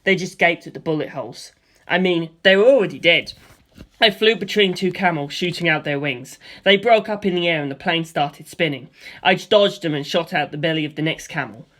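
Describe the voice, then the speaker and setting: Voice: medium pitch, moderate pace, a bit bright. First-person storyteller with spoken sound effects. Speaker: narrator. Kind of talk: first-person audiobook narration.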